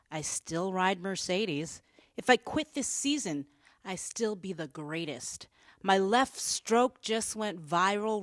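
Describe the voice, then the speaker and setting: Female dramatic reader, mid pitch, very measured delivery, close dry sound. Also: dry recording. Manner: theatrical, deliberate. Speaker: news reporter reading lyrics. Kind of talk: dramatic spoken-word reading.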